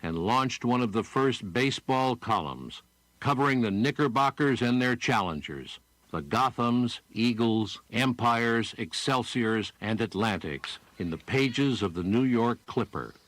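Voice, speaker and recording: A man reading scripted documentary narration in a measured, factual way. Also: medium pitch, lively intonation, fairly bright tone, no echo, poor audio. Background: clear music.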